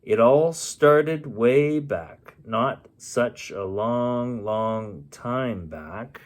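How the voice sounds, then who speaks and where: A man voicing a secretive old hermit in a children's storybook, telling of the past in a mysterious, drawn-out way. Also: mid-range voice. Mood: secretive, wistful.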